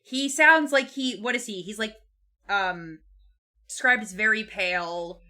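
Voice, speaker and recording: A woman's voice: medium pitch, measured pace, bright, forceful tone. A woman co-hosting a podcast, talking casually and informally about a book, with swearing and loosely built, like-peppered phrasing. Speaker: podcast co-host.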